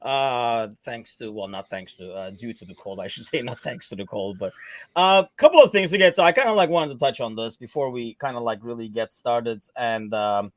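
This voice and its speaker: A man's voice: medium pitch, lively intonation, normal speed, no room sound. Turkish-born guy hosting a footy podcast, rambly casual chat, dry close sound. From Turkey. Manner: loose and chatty, many fillers. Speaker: podcast host. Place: Chicago.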